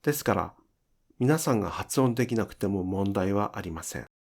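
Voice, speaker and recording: A man teaching pronunciation in an instructional style, enunciating deliberately and carefully for language learners. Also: fairly low pitch, lively intonation, creaky. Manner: deliberate, careful enunciation with demonstrated example words.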